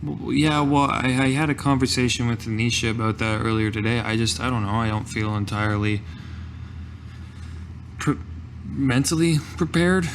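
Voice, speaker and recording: A man's voice: mid-range voice, moderate pace, a little hiss or hum. A man playing a tabletop game, improvising in character in a hesitant, conversational manner. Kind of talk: improvised in-character roleplay in own voice.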